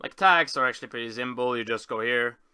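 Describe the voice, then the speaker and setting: A man with a very bright, forceful voice and a clear, smooth tone talks through a casual screen tour. He rambles, stops short and corrects himself as he goes. The sound is close and dry. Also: medium pitch, dry recording. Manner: rambling, halting, self-correcting. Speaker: video host.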